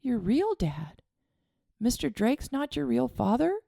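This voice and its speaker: A small girl's high, trembling voice that stammers and breaks as she cries, put on by a woman reading a storybook. Her words leap upward in pitch, lively and fragile, with a gravelly edge under the sobs. The storybook voice sounds close and dry. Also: slow, dry recording, heavily acted. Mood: tearful. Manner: whimpering, stammering through tears. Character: upset young girl, tearful and grieving.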